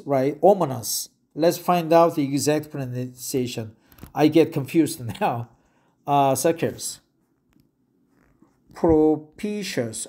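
A man reads aloud at a very slow, halting pace. His voice is fairly high but dark and mellow. He keeps repeating phrases and tripping over hard words. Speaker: English learner reading aloud. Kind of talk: reading a web article aloud with asides.

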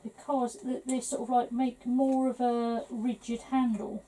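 Slightly hoarse middle-aged english woman rambling through a craft demo, slow and a bit gravelly, faint hiss. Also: on the high side, little pitch movement, mellow, rough recording. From England. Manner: chatty, rambling, full of fillers. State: slightly hoarse, losing her voice. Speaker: papercraft tutor. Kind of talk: casual crafting tutorial.

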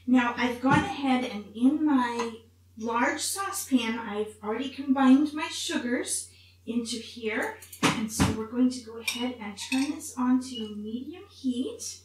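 High-voiced woman in a very echoey kitchen. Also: unhurried. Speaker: cooking show host in character as Mrs. Claus. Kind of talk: recipe demonstration to camera. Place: home kitchen, indoors. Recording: very echoey, distant mic, faint room noise.

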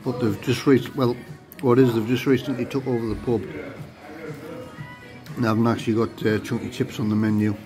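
A man's voice: mid-range voice, level tone, brisk. A man, chatting casually to a phone camera while reviewing a pub meal, tired and rambling. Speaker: food vlogger. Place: pub dining room, indoors. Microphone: phone held close to the face. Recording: music playing behind.

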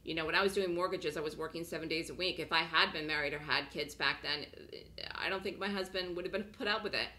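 A woman teaching a trading course on a webinar from her home office, chatty and slightly hoarse from a cold, checking in with "okay?" as she goes. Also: sits low, fast talker, bright, forceful tone. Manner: chatty, repeats phrases, frequent "okay?" check-ins. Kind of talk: webinar sales pitch.

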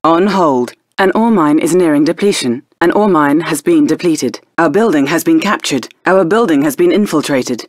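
An actress playing a composed female military intelligence officer, giving crisp, formal status announcements in a strategy video game. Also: sits low, animated, moderate pace, few pauses, dry recording, clean studio sound. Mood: composed, official.

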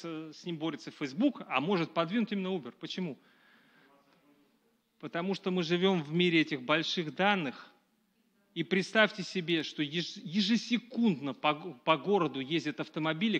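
A middle-aged man giving a public lecture to a live audience in a small dark room, talking conversationally with many fillers and restarts, recorded from a camera at a distance. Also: a little high, muffled recording.

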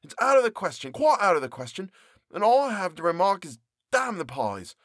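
A young male baker, trapped and thoroughly fed up, as a male narrator puts him on, complains in a pitched-up, exasperated voice. His tone is strained and unlike the narrator's usual sound. He is a storybook character in a dry, close recording. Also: huge pitch swings, moderate pace, no echo.

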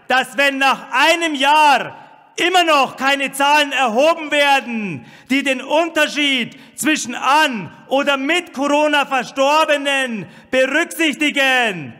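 Very high-pitched man's reverberant announcement. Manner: deliberate, proclamatory. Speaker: public announcer. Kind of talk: formal loudspeaker announcement, military-bulletin style. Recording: long reverberant loudspeaker sound.

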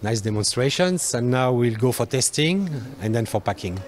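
A man gives an explanatory factory demonstration into a handheld mic, haltingly, searching for words. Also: mid-range voice, expressive. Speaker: factory guide. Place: large factory workshop, indoors. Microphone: handheld microphone. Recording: music behind the voice.

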